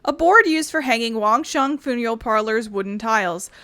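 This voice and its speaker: A woman's voice: on the high side, expressive, normal speed, bright, forceful tone, no room sound. A woman hosting a casual let's play, reading game text aloud and adding her own comments. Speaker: gaming video host. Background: game music.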